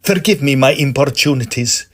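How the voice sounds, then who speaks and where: An actor playing an earnest, sentimental Victorian musician, florid and humble in manner, in a period audio drama. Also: male voice, mid-range voice, moderate pace, natural voice. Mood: heartfelt, devoted.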